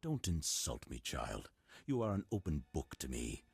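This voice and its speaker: An actor playing a stern, calm old Jedi master in a video game, husky and speaking in a measured, formal, solemn way. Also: male voice, soft background music, heavily acted. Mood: solemn, unyielding. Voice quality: very deep, husky.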